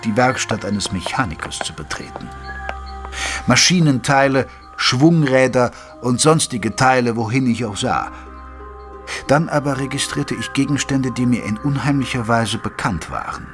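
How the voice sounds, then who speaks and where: Guy acting as earnest gentleman telling his detective adventure, measured storyteller, mid pitched voice, music playing behind. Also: close to an everyday voice. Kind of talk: scripted first-person audio drama narration.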